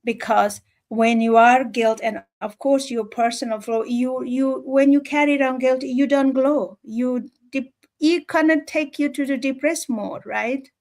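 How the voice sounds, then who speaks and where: A woman from Nepal with a Nepali accent, giving an informal motivational talk in an earnest, emphatic, halting way, often repeating phrases. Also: high-pitched, fairly even intonation, darkish tone. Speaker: livestream speaker.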